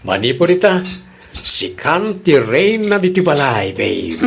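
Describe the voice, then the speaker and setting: An actor with a Filipino accent playing an affectionate but insecure husband who broods about money, in an Ilocano radio drama. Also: male voice, on the high side, sing-song, takes it slowly, vocal fry, background noise, exaggerated voice.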